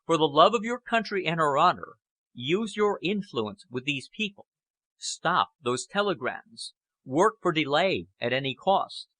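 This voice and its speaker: A man performs a grave British Prime Minister of an audiobook novel, strained and aging. He orates sternly and deliberately in a forceful, raised voice with sweeping emphasis. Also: a little high, very animated, dry recording, pitched above the performer's own voice, exaggerated voice. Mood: stern, urgent. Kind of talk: audiobook character acting.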